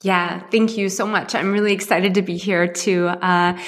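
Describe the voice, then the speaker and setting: An American woman from Ohio, a guest in an interview, telling her story in a lively, conversational way with frequent fillers. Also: lowish voice, moderate pace. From Ohio, USA. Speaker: guest, company co-founder.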